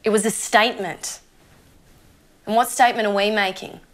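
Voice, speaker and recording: A woman's voice: mid-range voice, fairly even intonation, normal speed, close to an everyday voice. An actress playing an opinionated young female zombie with a conscience, ranting conversationally and swearing freely in an Australian accent, as if interviewed for a mockumentary. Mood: fired-up indignation. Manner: sweary, indignant, conversational rambling.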